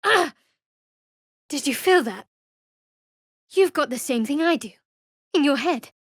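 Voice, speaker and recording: A guarded, sardonic young cleric, higher-pitched. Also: female voice, lively intonation, fairly bright tone, no echo, exaggerated voice. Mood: urgent. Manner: dry, measured, wry delivery. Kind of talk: fantasy video game voice acting.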